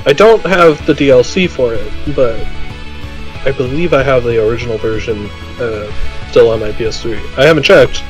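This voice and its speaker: A man's voice: medium pitch, moderate pace, highs cut off, soft background music. A man chatting casually as a panelist on a podcast. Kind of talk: casual chat.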